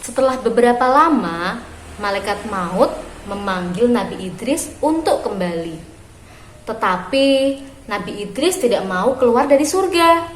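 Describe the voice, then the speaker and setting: A woman reading a story aloud for young children, phrasing expressively and deliberately with pauses. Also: fairly high pitch, lively intonation. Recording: roomy echo with clear background noise.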